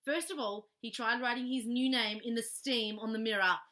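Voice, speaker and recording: A woman reading a children's story aloud expressively, animated and adding playful little asides. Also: on the high side, normal speed. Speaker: storybook reader.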